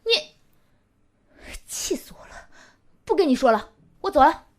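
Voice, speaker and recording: A woman plays a bossy older sister in an audio drama, exasperated and nagging. The voice is fairly high and swings widely as she scolds. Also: expressive. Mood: fed up. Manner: scolding, brisk. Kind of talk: amateur audio drama acting.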